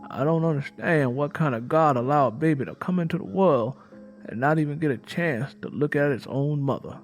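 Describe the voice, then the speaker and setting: A man's voice: a little high, fairly quick, faint music behind, natural voice. A man voicing a bitter, mean-tempered woman from the American South in a folksy, emphatic storytelling way for an audiobook. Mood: sorrowful.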